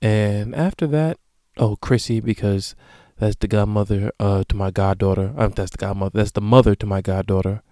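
A man in his late twenties hosting a solo podcast, talking casually and profanely with sudden loud bursts. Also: lowish voice, steady tone, normal speed, no room sound, studio quality. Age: late 20s. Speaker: podcast host.

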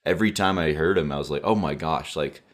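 Very low-voiced young man, excitable. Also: fast. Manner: excitable, filler-heavy. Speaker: podcast co-host. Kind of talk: casual podcast chat.

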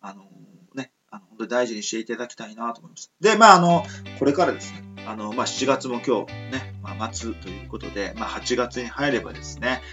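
A man hosts a relaxed home radio show. His pitch swings widely as he rambles politely, full of fillers. Guitar music plays underneath. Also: brisk, few pauses, lo-fi sound. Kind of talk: casual radio chat.

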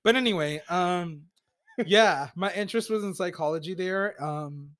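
A young man co-hosting a podcast in casual chat, soft-spoken and hesitant, with many ums. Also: high voice, moderate pace, no echo, studio quality. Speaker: podcast co-host.

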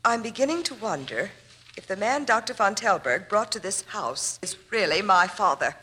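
A woman's voice: medium pitch, sing-song, moderate pace, pushed and bright, a little hiss or hum. A woman playing an anxious, genteel young heiress in an old-time radio drama, speaking formally and hesitantly. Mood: fearful, confiding. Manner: hesitant, formal, stammering over words.

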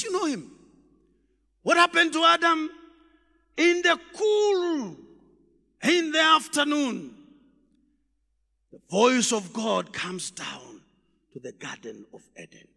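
High-pitched shouting preacher man, echoing hall. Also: vocal fry. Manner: shouts emphatic lines, then drops low; repetitive call-and-response questioning. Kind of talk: sermon. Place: church.

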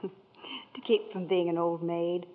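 Gentle young wife, tinny radio. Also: female voice, on the low side, steady tone, slow pace. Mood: tender. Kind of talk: 1940s radio drama acting. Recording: reverberant, thin phone-like vintage radio recording.

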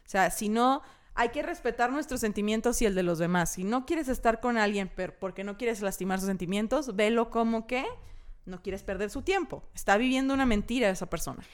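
A woman with a Mexican accent co-hosts a casual podcast, her voice pitched fairly high. She talks in an animated, slangy way, swearing freely. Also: a little fast. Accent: Mexican Spanish. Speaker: podcast co-host. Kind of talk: casual chat.

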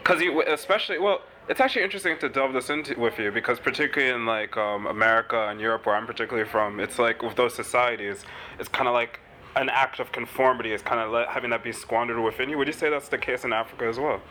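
A man's voice: medium pitch, sharp tone, slight background noise. A male interviewer asking eager, agreeable questions in a casual conversation. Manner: eager, agreeable, quick to affirm.

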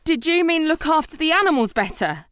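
A woman's voice: high-pitched, very animated, fairly quick, fairly bright tone, no room sound. A woman playing an anxious, suspicious amateur sleuth with a fretful, incredulous manner in a comedy audio drama. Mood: alarmed, squeamish.

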